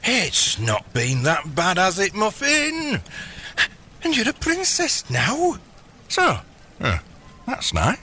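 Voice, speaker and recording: A man's voice: high voice, wildly swooping pitch, takes it slowly, pauses often, sharp tone, a little hiss or hum, full character voice. An actor playing a bumbling, evasive middle-aged father turned crime boss in an audio comedy, stammering and hesitating as he tries to placate. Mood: placating, defensive. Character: bumbling, evasive long-absent dad turned gangster boss. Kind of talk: audio comedy drama acting.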